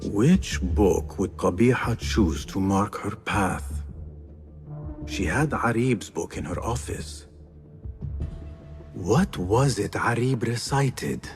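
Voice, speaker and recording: An actor playing an earnest, driven young assassin apprentice in a video game, speaking with intense solemnity. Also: male voice, lowish voice, animated, slow, faint music behind. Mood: wary, brooding. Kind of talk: dramatic scripted dialogue.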